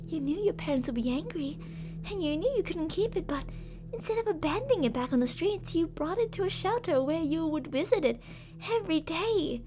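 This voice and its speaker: A woman's voice: very high voice, animated, fairly quick, over music. A woman playing an obsessive, possessive yandere captor in an ASMR roleplay, intimate and doting with endearments yet menacing. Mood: tender, wistful. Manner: intimate, cooing endearments, drops to whispers. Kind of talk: ASMR roleplay, scripted monologue.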